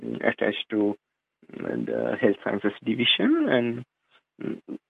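A man in his thirties with a Sri Lankan accent, whose native tongue is Sinhala, talks hesitantly, pausing on fillers. His voice has a gravelly edge. It comes through a dry, narrow, phone-like line. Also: medium pitch, wildly swooping pitch, dry recording. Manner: hesitant, many fillers and restarts. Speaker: radio show host, guest. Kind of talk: interview answers.

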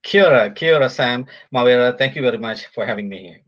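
A man from Sri Lanka, a polytechnic lecturer, speaking as a guest in a casual remote interview, halting with many fillers and restarts. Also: mid-range voice, animated, muffled recording.

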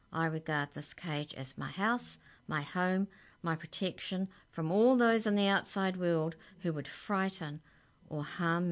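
A woman voicing a small talking budgie in a storybook, high-pitched, earnest and insistent. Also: natural voice. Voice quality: high-pitched, squeaky cry. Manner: agitated, insistent.

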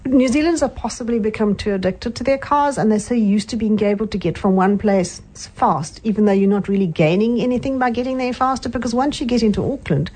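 A woman's voice: fairly high pitch, fairly quick, hardly any pauses, slightly rough. An older woman with a South African accent, a guest chatting and reminiscing in an interview. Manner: reminiscing, with soft hums of agreement. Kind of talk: casual interview chat.

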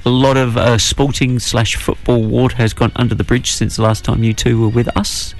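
Jokey New man with a booming voice. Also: little pitch movement, moderate pace, faint music behind. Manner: jokey, self-mocking, rambling. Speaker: radio co-host. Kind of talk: casual radio banter. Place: radio studio.

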